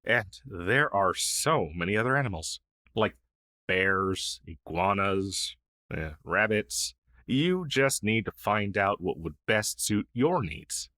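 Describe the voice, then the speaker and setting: A man's voice: on the low side, animated, takes it slowly, sharp tone, no room sound, studio quality, full character voice. A man playing a friendly, shy crow familiar in an intimate fantasy audio roleplay, speaking in a hesitant, earnest, conversational way. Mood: gentle, reassuring. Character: friendly, shy crow familiar, a masterless male magical helper. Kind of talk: intimate ASMR roleplay acting.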